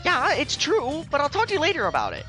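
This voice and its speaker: An actor playing an excitable, worried, good-natured teenage boy who talks in exclamatory casual slang in a web audio drama. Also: very high voice, fast, over music, heavily acted. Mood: exasperated.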